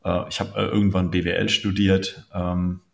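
A man's voice: sits low, level tone, moderate pace, gravelly. A man from Germany, a business consultant speaking as an interview guest. He talks earnestly, with frequent hesitation sounds. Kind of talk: casual interview talk.

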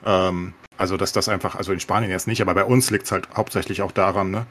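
A man's voice: low-pitched, steady tone, fast talker, fairly bright tone. A man chatting casually on a podcast in colloquial language, with sardonic understatement. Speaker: podcast host.

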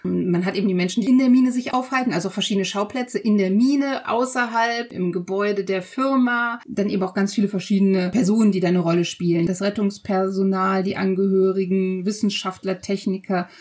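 A woman's voice: mid-range voice, steady tone, brisk, few pauses, darkish tone. A woman hosting a podcast, introducing and interviewing in a conversational style. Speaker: podcast host interviewing guests.

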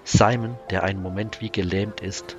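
A man's voice: deep voice, fairly even intonation, normal speed. A man reading scripted narration for a science fiction audio drama. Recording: music under the voice.

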